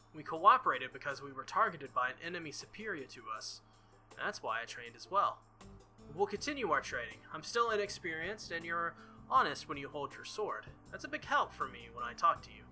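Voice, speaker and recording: A man's voice: on the high side, bright, forceful tone, exaggerated voice. A man voicing an earnest, flustered teenage visual novel hero in a hesitant, stammering, insistent way.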